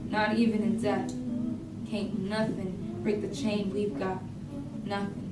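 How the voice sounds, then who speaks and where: A woman's voice: medium pitch, level tone, slow, lightly acted. A woman in a staged reading playing a proud, self-loving warrior daughter in an incantatory spoken-word style. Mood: devoted, defiant. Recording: distant, echoey, noisy room.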